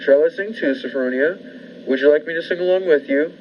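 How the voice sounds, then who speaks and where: A man's voice: fairly high pitch, fairly quick, slight background noise, close to an everyday voice. A man playing a sinister, controlling brother-in-law in the style of a 1940s radio thriller. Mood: quietly menacing. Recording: muffled recording under loud music.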